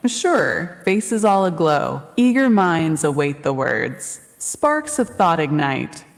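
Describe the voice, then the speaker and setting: A synthetic female AI assistant voice answering questions in a calm, informative way. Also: low-pitched, takes it slowly, gravelly. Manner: calm, informative, even delivery. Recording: echoey hall reverb.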